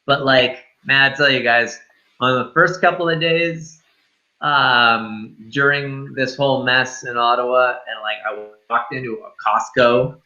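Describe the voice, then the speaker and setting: Casting: male podcast host, sharp bright tone, medium pitch, blunt and informal, slightly lo-fi sound. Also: steady tone, measured pace. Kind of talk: casual banter, movie review chat.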